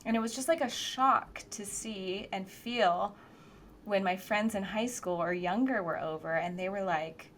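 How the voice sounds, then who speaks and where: A woman's voice: medium pitch, a little slow, a bit bright, a little hiss or hum. A woman from the United States, co-hosting a podcast and speaking in a thoughtful, reflective way with many fillers. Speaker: podcast co-host, therapist. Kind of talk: casual podcast conversation.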